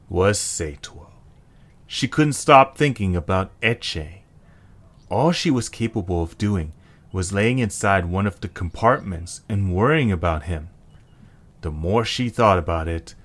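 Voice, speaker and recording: Male narrator, scripted storytelling, expressive intonation, bright clear-cut tone, slightly low pitch.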